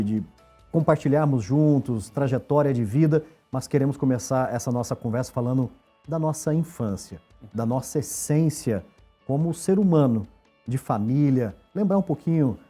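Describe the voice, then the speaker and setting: A man's voice: mid-range voice, normal speed, mellow, slightly rough, faint music behind. A man hosting an interview, welcoming his guest and asking about his childhood. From Brazil. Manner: friendly, affirming, short backchannels. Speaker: interview host.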